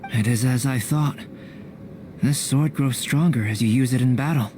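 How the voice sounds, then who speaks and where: An actor playing a calm young alchemist scholar in a game cutscene, speaking softly with precise, formal, contemplative phrasing. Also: male voice, mid-range voice, normal speed, background noise, natural voice. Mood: composed, intrigued. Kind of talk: scripted game cutscene acting.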